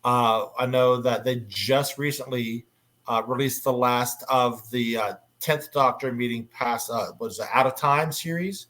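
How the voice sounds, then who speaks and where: A man hosting a casual convention panel, talking in an enthusiastic, halting way. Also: medium pitch, a bit bright. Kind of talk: casual panel discussion about audio dramas.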